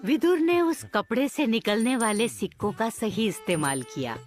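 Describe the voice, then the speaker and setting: A woman narrating a cartoon moral story in a measured storytelling manner. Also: a little high, very animated, soft background music.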